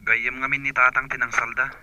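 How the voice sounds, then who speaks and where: A man plays an exasperated younger brother calling his sister. His Ilocano-accented voice is extremely sharp and tense. It comes through a dry, narrow telephone filter in radio drama style. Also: medium pitch, flat delivery, fairly quick, no echo. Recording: dull filtered telephone line.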